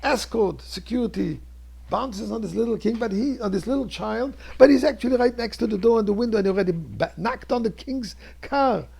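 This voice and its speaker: A male rabbi delivers a storytelling sermon in a very raspy, heavily creaking voice that sits a little high for a man. His delivery is theatrical and emphatic, moving between hushed near-whispers and forceful declamation. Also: brisk. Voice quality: husky, gravelly.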